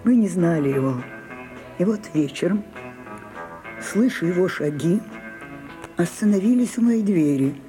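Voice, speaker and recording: An actress playing an imperious, willful older French stage star in a grand, declamatory radio drama style. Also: female voice, on the low side, lively intonation, unhurried, pauses often, dark tone. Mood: reminiscing. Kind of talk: theatrical Soviet radio drama acting. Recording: music behind, mono radio broadcast.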